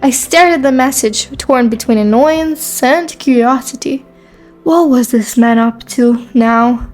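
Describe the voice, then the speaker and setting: A woman playing a guarded, sassy high school girl in a first-person romance story, with a skeptical, dry manner. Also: a little slow, a little mellow, soft background music. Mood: reflective, wary.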